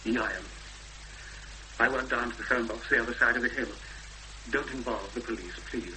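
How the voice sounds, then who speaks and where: An actor playing a wary, guarded man of the valley in a 1970s British radio drama, speaking in halting, clipped sentences. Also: mid-range voice, natural voice. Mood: guarded, worried. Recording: noisy hissy 1970s radio recording.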